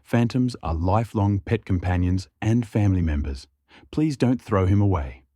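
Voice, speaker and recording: A man's voice: on the low side, lively intonation, normal speed, mellow, dry recording, studio quality. A man narrating a scripted fiction audiobook.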